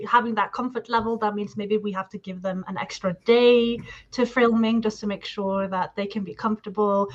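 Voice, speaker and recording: Fields: Sex: woman. Native language: not English. Audio: muffled. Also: mid-range voice. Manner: thoughtful, talks in long hedged sentences with fillers. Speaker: guest discussing marketing and projects. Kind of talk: casual reflective chat.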